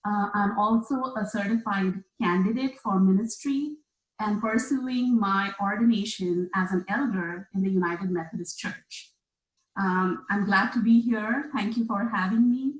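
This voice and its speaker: A woman's voice: mid-range voice, a little slow. Woman panelist, polite self-intro, echoey room sound. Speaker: graduating divinity student, panelist.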